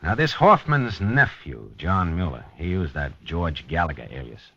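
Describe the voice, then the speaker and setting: An American actor playing a dogged, weary police lieutenant in a 1950s radio drama, with a clipped, hard-boiled delivery. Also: male voice, low-pitched, big pitch swings, moderate pace, a bit bright, breathy edge, dry recording, full character voice. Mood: keen, wry. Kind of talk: 1950s radio drama acting and first-person narration. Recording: dull vintage 1950s radio recording.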